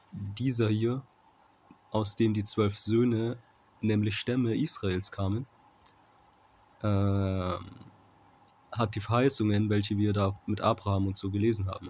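Low, monotone man teaching Bible informally. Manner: halting, often checking with "okay?". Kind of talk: informal Bible commentary with scripture reading.

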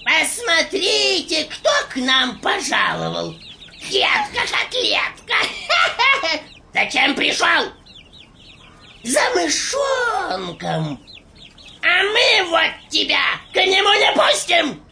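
A woman voicing a haughty, taunting boss mouse in a children's cartoon, with drawn-out, imperious delivery. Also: extremely high pitch, huge pitch swings, vocal fry, bright, forceful tone, raised voice, slight background noise, heavily acted. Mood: mocking. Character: haughty, mocking boss mouse of the field mice.

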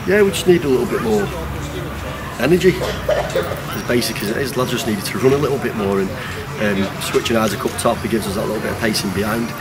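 Fast, low-voiced Northern English football manager. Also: male voice. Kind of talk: post-match interview. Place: outdoors on an empty football pitch. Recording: loud outdoor background noise, traffic.

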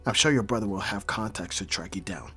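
A man acting a brooding mafia boss, hard but secretly caring, in a dramatic audio roleplay with terse, measured delivery. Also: on the low side, lively intonation, fairly bright tone, faint music behind. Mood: low, gentle. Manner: terse, profane, sighing, measured.

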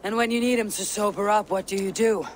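Woman playing a low, gruff Viking warrior woman. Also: fairly quick, a little hiss or hum, close to an everyday voice, low-quality recording. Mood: brisk, searching. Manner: blunt, terse. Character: hardened, direct female Viking warrior. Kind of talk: video game dialogue acting. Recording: clear outdoor ambience behind voice. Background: outdoor game ambience.

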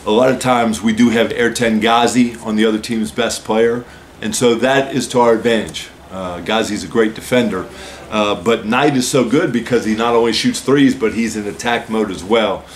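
A man in his forties with a slightly low voice gives postgame answers as a basketball coach. He talks in a measured, explanatory way, leaning on "uh" and "so". Faint noise hangs in the press room. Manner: measured, explanatory, many "uh" and "so" openers. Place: press room with a team backdrop, seated at a table.

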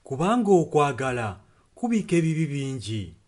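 A man's voice: a little high, sing-song, brisk, a little mellow. A Ugandan man interpreting a sermon earnestly, phrase by phrase. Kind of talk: consecutive sermon translation. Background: music.

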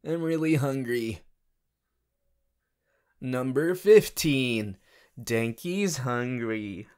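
A goofy teenage anime boy , acted by a man, whines and rambles to his sweetheart in an audio roleplay. His drawn-out delivery is slow and hesitant, with pitch that swings widely. He comes across as clingy and playful. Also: animated, darkish tone, clean tone. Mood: whiny, drawling. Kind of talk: improvised audio roleplay addressed to the listener.

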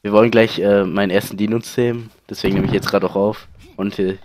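A man's voice: fairly low pitch, little pitch movement, fast, noisy background. A young man with a heavy cold, hosting a casual let's play and rambling with many fillers. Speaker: channel host, let's player. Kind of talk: casual gaming chat.

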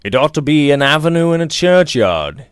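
Man acting a sombre young gentleman. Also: fairly high pitch, sing-song, normal speed, clean tone, dry recording, studio quality, voice pushed up. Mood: morbid. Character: gloomy, brooding young Victorian gentleman.

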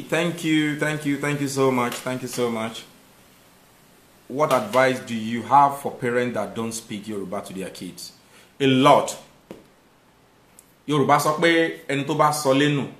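A man in his thirties with a Nigerian accent hosts a casual live stream, reading viewer comments aloud and thanking viewers warmly. His voice sits at an average pitch and rises with conviction when he makes a point. The room around him echoes noticeably.

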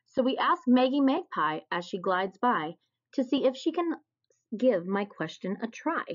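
A woman reading a children's picture book aloud in an expressive storytelling style. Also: a little high, unhurried. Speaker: storybook reader. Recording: dull, lo-fi recording.

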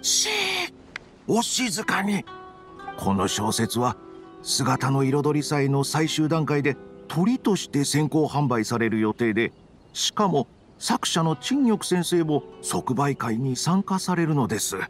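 A man's voice: a little high, big pitch swings, slow pace, soft background music. A man playing a nervous, deferential publishing editor in an anime game, speaking formally and apologetically. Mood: grave, explaining.

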